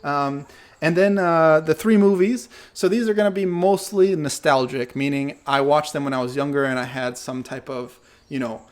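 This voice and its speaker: A young man hosting his channel, who says English is not his first language, answering viewer questions in a relaxed, thinking-aloud way. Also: on the high side, normal speed. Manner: relaxed, thinks aloud with fillers and self-corrections. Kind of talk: casual Q&A talk to camera.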